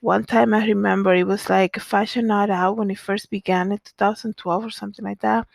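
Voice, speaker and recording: Young adult woman, Dominican-born designer, talks casually with lots of fillers; slight vocal fry, dry recording. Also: medium pitch, steady tone, brisk, no room sound. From Dominican Republic. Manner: chatty, many "you know" fillers and restarts. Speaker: fashion designer and vlogger. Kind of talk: casual storytelling monologue.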